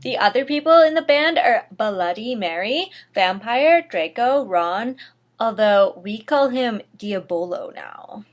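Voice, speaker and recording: A woman's voice: fairly high pitch, animated, slow pace. A woman giving a mock-dramatic story reading, theatrical in delivery, with sarcastic asides. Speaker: reader of a comedic dramatic reading.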